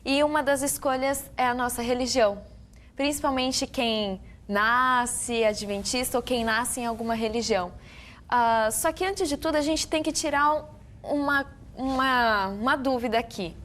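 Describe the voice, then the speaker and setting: A woman with a Brazilian Portuguese accent, hosting a relaxed youth panel, lively and reflective. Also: high voice, unhurried, slight background noise. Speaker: host. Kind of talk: casual panel chat.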